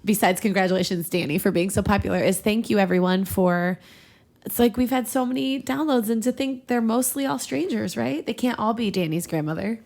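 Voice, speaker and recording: A woman hosts a casual comedy podcast. Her voice has a gravelly creak at a middling pitch, and she chats along in a giggly, informal way. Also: fairly quick, darkish tone. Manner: giggly, chatty. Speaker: podcast co-host.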